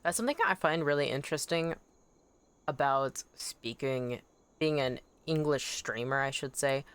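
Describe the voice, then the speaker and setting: Casting: livestream gamer, native Anishinaabemowin speaker, smooth clean tone, excitable and rambling, informal chat delivery. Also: medium pitch, normal speed. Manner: animated, excitable, rambling with self-corrections. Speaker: game streamer. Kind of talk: casual livestream chat while gaming.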